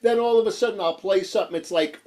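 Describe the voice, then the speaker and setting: Nasal, high-pitched New York man, rambling. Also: fast talker, darkish tone. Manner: rambling, stammering, repeats words and says "you know" often. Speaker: guitarist being interviewed. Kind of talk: casual chat.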